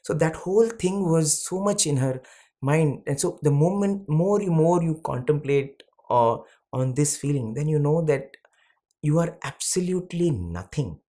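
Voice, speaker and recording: A man speaking reflectively in a devotional conversation, with slow emphatic phrases. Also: a little high, animated, mellow. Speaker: satsang speaker.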